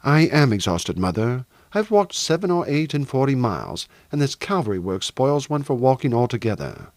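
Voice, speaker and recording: A man voicing a brave, weary, good-humoured young gentleman soldier in an audiobook novel. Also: medium pitch, very animated, normal speed, no room sound. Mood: weary, wry.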